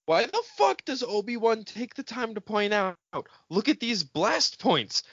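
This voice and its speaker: A man's voice: sits high, measured pace, no room sound, muffled recording. A man co-hosting a fan podcast, bantering casually and picking at details with some swearing. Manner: nitpicky, sweary, animated. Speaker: podcast co-host.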